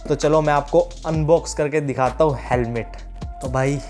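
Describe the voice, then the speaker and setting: A man talks as a motovlogger. He is chummy and slangy, dropping English words into casual chat, with music clearly playing behind him. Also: a little high, fairly even intonation, moderate pace, a little mellow. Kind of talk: casual vlog chat to viewers.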